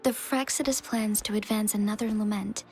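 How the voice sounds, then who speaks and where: A woman's voice: mid-range voice, steady tone, moderate pace, a little mellow, faint music behind, lightly acted. An actress playing a composed, gracious young female magistrate in a video game, speaking politely and formally. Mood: grave.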